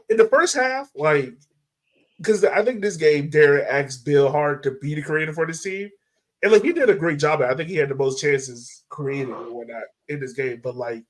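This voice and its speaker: Man, fairly high-pitched, podcast co-host, casual sports chat, slangy animated delivery. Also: a little slow, low-quality recording.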